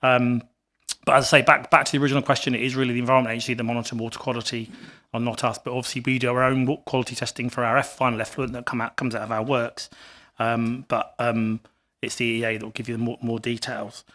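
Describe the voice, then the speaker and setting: A man with a rough, gruff voice from a cough, answering questions from a council committee in a halting way that repeats words. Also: medium pitch, little pitch movement, a bit bright. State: hoarse with a cough. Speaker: water company representative. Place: council committee meeting room.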